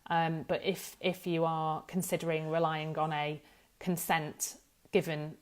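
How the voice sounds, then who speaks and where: A woman's voice: deep voice, level tone, unhurried. A woman giving an informal explanatory talk as a legal expert, thinking aloud and correcting herself as she goes. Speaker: data protection law expert presenting an explainer.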